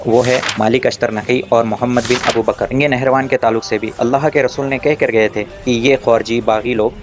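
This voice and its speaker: A man's voice: mid-range voice, fairly even intonation, normal speed, background noise. A man giving an argumentative religious rebuttal, addressing his opponent directly in a taunting, confrontational way.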